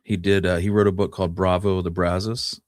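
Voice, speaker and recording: A man's voice: low voice, flat delivery, fast, darkish tone, no echo, studio quality. A man co-hosting a history show, explaining things in an informal, conversational way. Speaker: co-host of a Western history show. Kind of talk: casual conversational history discussion.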